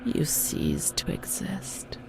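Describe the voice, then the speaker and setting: A woman playing a fragile, haunted young former asylum patient in a narrative game, delivering a slow, halting, confessional monologue in a breathy voice that often sinks to a whisper. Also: lightly acted. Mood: traumatized, anguished. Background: music.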